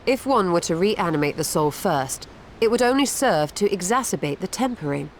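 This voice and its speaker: A sharp-minded woman mage in a video game, calm and analytical. Her voice is lowish and even, with faint background noise. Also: moderate pace. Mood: composed. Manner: composed, analytical, dry.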